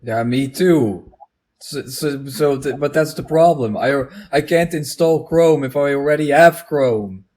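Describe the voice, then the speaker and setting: A man's voice: a little high, unhurried, a little mellow. A man in a casual unscripted online chat, speaking hesitantly and repeating his words as he goes. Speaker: video co-host.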